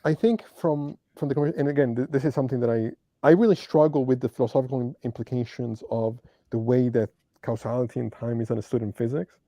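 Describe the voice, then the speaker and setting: Gravelly, dark-toned man searching for words. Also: mid-range voice, fairly even intonation, quick pace, few pauses, no room sound. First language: not English. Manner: hesitant, searching for words, many ums. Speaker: debate guest. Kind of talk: casual philosophical discussion.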